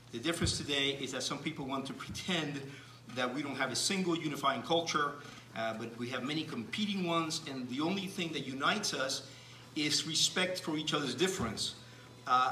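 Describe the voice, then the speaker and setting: Cuban-born male lecturer, bright voice, measured with restarts; roomy, distant mic. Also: medium pitch, slight background noise. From Cuba. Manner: measured, frequent restarts and fillers. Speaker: speaker giving a talk. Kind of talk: lecture to an audience.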